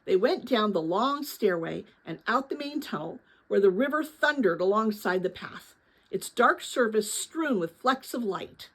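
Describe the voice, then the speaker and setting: A woman reading a children's novel aloud as dramatic, expressive story narration. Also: medium pitch, a little slow, low-quality recording. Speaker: audiobook-style reader of a children's novel.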